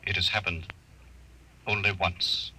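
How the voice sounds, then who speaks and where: A man playing a talking computer in a 1950s radio drama, with a slow, measured, mechanical and precise delivery. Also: low-pitched, level tone, very noisy. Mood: flat, informative. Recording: old radio broadcast, husky forceful sound.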